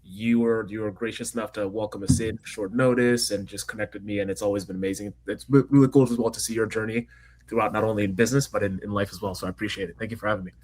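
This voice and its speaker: A young man from Kenya with an American accent, telling his story quickly and energetically as a podcast guest. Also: lowish voice, rough recording. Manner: fast, energetic storytelling with frequent fillers. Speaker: guest entrepreneur. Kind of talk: casual interview chat.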